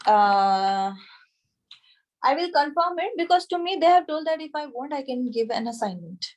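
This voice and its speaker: A woman in her thirties with an Indian accent, teaching an informal online class in a halting way, checking in as she goes. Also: high-pitched, lively intonation. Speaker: anatomy teacher at a yoga school. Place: small room with a whiteboard, indoors. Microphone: device camera a short distance away, video call.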